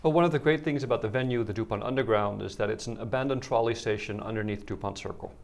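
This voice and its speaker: A man from the Netherlands with a Dutch accent, a guest explaining his work in measured answers. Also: lowish voice, gravelly. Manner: measured, explanatory, some repeated words. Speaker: guest, organization head. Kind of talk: interview answers.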